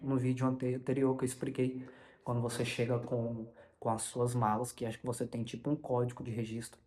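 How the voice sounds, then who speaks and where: A man's voice: mid-range voice, a little mellow. Brazilian man in a very reverberant room. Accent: Brazilian Portuguese. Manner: halting, repeats and corrects words often. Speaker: vlogger recounting personal experience. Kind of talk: informal unscripted storytelling. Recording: long reverberant room echo.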